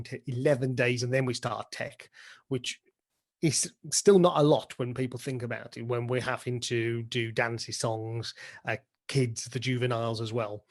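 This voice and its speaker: Chatty British man explaining things step by step. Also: medium pitch. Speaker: pantomime dame and director, interview guest. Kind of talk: casual interview explanation.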